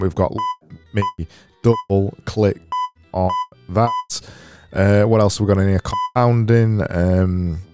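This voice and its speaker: Solo podcast host, man with a Barnsley accent, deep voice, deadpan mockery. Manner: sarcastic, deadpan self-mocking. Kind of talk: solo comedic podcast monologue.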